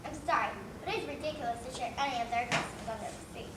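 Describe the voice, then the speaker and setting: A woman playing a prim, dismissive fish schoolteacher, brisk and stern, in a children's stage play. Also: high voice, far from the mic, close to an everyday voice. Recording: echoey, noisy hall from afar.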